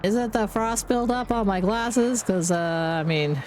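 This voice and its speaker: A woman live-streaming a game with casual, playful commentary, chattering to herself, her voice deepened by being sick. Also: measured pace, a little mellow, slight background noise.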